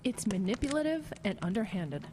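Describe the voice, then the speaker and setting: An actress playing a prickly, assertive government official, dry and argumentative, in an audio drama. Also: female voice, mid-range voice, sing-song, fairly quick, mellow, close to an everyday voice. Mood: indignant. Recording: noisy storm ambience behind.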